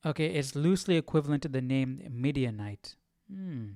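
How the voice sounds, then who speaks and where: A man's voice: medium pitch, expressive, normal speed, dark tone, no room sound, clean studio sound. A man hosting a Bible study livestream, chatting informally with slang and reading out study notes.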